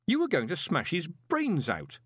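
A man voicing a glib, quick-witted male swindler turned postmaster in an audiobook, brisk, assertive and persuasive. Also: fairly high pitch, huge pitch swings, breathy edge, no room sound, clean studio sound, pitched above the performer's own voice, full character voice. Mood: exasperated. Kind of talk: audiobook character voice.

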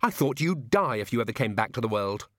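A man voicing a sharp young woman who fires off indignant questions. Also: medium pitch, sing-song, quick pace, no room sound, studio quality. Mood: demanding.

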